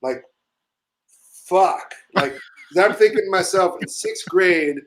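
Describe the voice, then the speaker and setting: A middle-aged man from Chile telling rambling, profanity-laced stories in a casual chat, animated, with frequent asides. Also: high-pitched, takes it slowly, a little hiss or hum. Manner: rambling, swearing, animated storyteller. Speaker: musician telling stories on a show.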